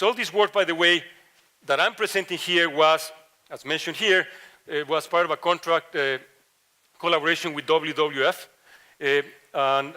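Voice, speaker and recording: Middle-aged Latin American-accented male professor, slightly high, bright voice, halting panel talk. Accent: Latin American Spanish. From Mexico and Ecuador. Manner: halting, many fillers and restarts. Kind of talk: conference panel presentation.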